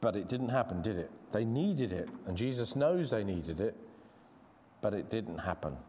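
A man with an English accent preaching a sermon in a church, earnest and conversational, slowing down to stress key words. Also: lowish voice, animated, dark tone, slight background noise. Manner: earnest, conversational, with frequent restarts and slow emphasis. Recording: long hall reverb.

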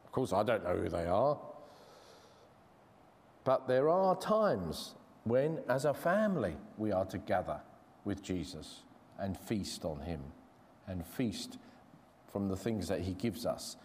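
A man with an English accent preaching a sermon in a church, earnest and conversational, slowing down to stress key words. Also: low-pitched, big pitch swings, a little mellow. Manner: earnest, conversational, with frequent restarts and slow emphasis. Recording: long hall reverb.

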